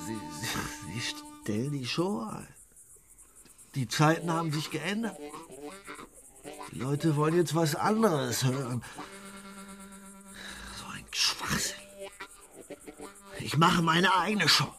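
Vain hot-tempered country radio star, high swooping voice, slow bossy delivery, radio play. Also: male voice, big pitch swings, music in the background, full character voice. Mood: outraged. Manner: bossy, theatrical, bursts into outraged shouting. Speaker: Western Swing radio show host.

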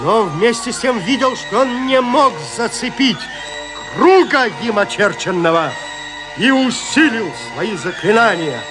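A man narrating a classic horror tale in an audio play, with theatrical, expressive delivery. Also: sits high, a little slow, music in the background.

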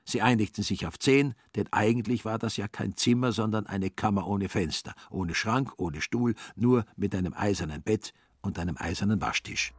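Husky, low male radio play narrator. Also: moderate pace, no echo. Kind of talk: literary radio drama narration.

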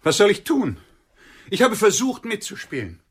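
A man's voice: high voice, huge pitch swings, normal speed, a bit bright, breathy edge, exaggerated voice. An actor playing a bitter, cutting homeless man in a radio play, speaking in a blunt, theatrical and insulting way. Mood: defensive, scornful.

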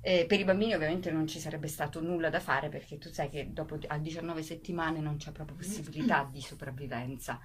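A woman radio guest giving an explanation in interview style, halting at times and emphatic on key words. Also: low-pitched. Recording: faint background noise.

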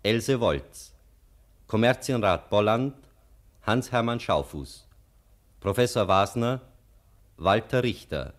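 A man with a standard German accent reading a formal, measured scripted announcement as a radio announcer. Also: on the low side.

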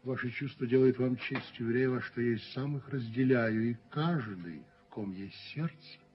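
Casting: male actor as self-righteous middle-aged property owner, coolly persuasive, measured rhetoric; dulled, slightly noisy radio drama sound. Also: medium pitch, lightly acted. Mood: suave, conciliatory. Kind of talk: 1970s Soviet radio play acting. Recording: muffled old radio recording with noise.

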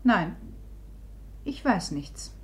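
A proud, guarded woman in a radio drama. Her voice sits at a middling pitch, and she speaks as a self-possessed rival. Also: very animated, extremely slow, fairly bright tone, heavily acted. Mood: cool, defiant. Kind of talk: German radio drama acting.

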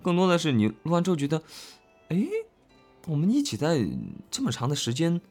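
A male voice actor talking casually and reflectively about his work, earnest and halting, often repeating his phrases. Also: a little high, sing-song, darkish tone, soft background music. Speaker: voice actor discussing an audio drama. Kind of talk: casual, reflective cast chat.

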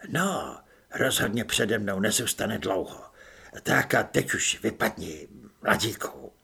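A hoarse stranger hidden in the dark talks slowly and patiently, now and then sinking to a whisper. His low voice carries a gravelly rasp, put on by a male audiobook reader. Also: animated, full character voice. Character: hoarse mysterious man hiding in the dark.

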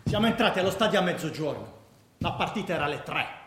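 An actor playing an excitable young male football fanatic, recounting clashes in slangy radio-drama narration. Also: fairly high pitch, fast. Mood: excited recounting. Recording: echoey, clearly noisy background.

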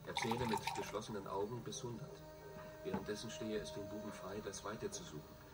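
TV reporter played by a male actor in a radio play: low, sober, formal, off-mic over music. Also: steady tone, brisk, natural voice. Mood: sober, grave. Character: sober male television news reporter reciting grim details. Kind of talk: acted news report in a radio drama. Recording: distant television-like sound over music.

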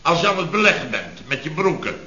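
Een acteur die een mopperende, opschepperige en zielige rijke aannemersbaas speelt, pompeus en nadrukkelijk, in een oude radiokomedie. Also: male voice, fairly high pitch, big pitch swings, quick pace, pushed and bright. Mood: smug, jovial. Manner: pompous, grumbling, emphatic, repeats himself. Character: blustery, self-pitying, boastful rich building contractor boss. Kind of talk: 1970s radio comedy acting. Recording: dull, roomy vintage radio recording.

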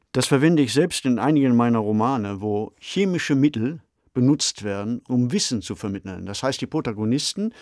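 A man co-hosting a discussion podcast, explaining in a measured, conversational manner. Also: medium pitch, darkish tone, no room sound, clean studio sound. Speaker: podcast co-host and novelist. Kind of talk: conversational radio discussion of science fiction.

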